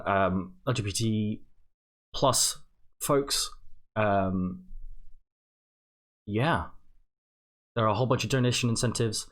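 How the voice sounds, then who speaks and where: Low-voiced, halting young man hosting a stream. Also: fairly bright tone. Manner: hesitant, halting, unscripted. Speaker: charity livestream host. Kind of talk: casual livestream announcements and chat. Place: indoor home streaming setup.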